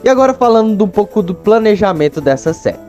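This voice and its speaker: A man's voice: high voice, expressive, quick pace, darkish tone, faint music behind. A young man with a Brazilian accent giving casual, slangy, chatty commentary as a video host.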